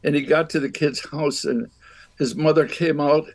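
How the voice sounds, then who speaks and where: A man casually telling an anecdote in a rambling, halting, amused way. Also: fairly high pitch, fairly even intonation, poor audio.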